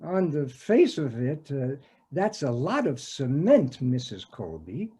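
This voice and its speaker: Actor as slow, pompous police detective. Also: male voice, medium pitch, big pitch swings, warm tone, slightly rough, exaggerated voice. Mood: probing, dry. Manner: hesitant, formal, wordy. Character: pompous, deliberate, gullible male police detective. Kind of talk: comic readers theater scene.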